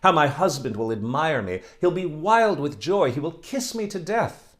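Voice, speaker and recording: A man's voice: mid-range voice, sing-song, moderate pace, pitched above the performer's own voice, lightly acted. A man voicing a vain, teasing young village beauty who admires herself and mocks her suitor. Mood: delighted. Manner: coquettish, haughty, admiring herself.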